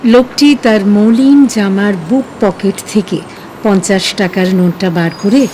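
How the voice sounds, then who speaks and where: A woman narrating a scripted audio story in an expressive, emotive, dramatic way. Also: medium pitch, measured pace, slight background noise, low-quality recording.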